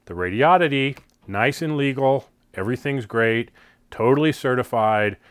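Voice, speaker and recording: A man's voice: mid-range voice, moderate pace, clear, resonant tone, no echo. American man, dry close sound, casual reviewer. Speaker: product reviewer, channel host. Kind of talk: casual unscripted gear review.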